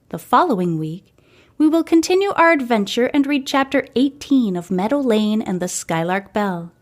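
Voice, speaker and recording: A woman reading a scripted story aloud as the narrator and host of a fiction podcast. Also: medium pitch.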